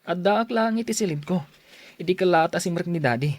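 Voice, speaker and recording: A man's voice: sits high, fairly quick, a little mellow, dry recording, natural voice. A man playing an aggrieved but dutiful young son in an audio drama. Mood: resentful, upset.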